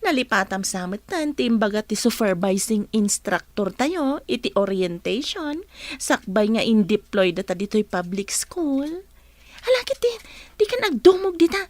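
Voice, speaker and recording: A woman playing a spirited young student teacher in a radio drama, teasing and animated, with a Filipino accent. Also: a little high, a little slow, dry recording. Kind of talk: Ilocano radio drama acting.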